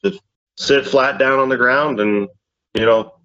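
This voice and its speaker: A middle-aged father on a parenting podcast, talking loudly in a rambling, casual way with a mildly deep voice, often saying "you know what I mean.". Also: a little slow. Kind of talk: casual chat. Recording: dull lo-fi audio.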